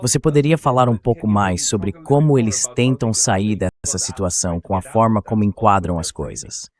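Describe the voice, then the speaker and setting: A man's voice: on the low side, moderate pace. A man's synthetic voice dubbing the host of a live discussion in translation. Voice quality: synthetic dubbed voice. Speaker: interview host.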